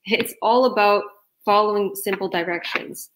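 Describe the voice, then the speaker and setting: A woman's voice: medium pitch, steady tone, normal speed, a bit bright, a little hiss or hum, poor audio. Young woman host, New Jersey–Toronto accent, emphatic and laughing, on a video call. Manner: enthusiastic, emphatic, laughs often. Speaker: host and business coach. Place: small room indoors.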